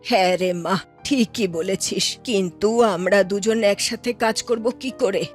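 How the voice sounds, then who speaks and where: A woman acts out an older village auntie for a cartoon moral tale. The voice sits well below the storyteller's, slow and motherly, with a faint music bed underneath. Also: medium pitch, pitched below the performer's own voice, lightly acted. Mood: puzzled. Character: kindly older village auntie, worried egg-selling mother.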